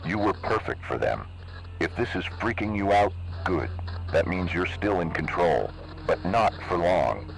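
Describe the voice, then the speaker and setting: A man voicing a cold, menacing emergency broadcast announcer in slow, measured, ominous narration. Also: low voice, big pitch swings, gravelly, heavily acted. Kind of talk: scripted analog horror narration. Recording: noisy analog video recording.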